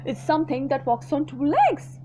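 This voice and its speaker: A woman voicing a big, boastful, greedy male crocodile who speaks slowly and gloatingly. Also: high-pitched, very animated, warm tone. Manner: slow, gloating, drawn-out delivery.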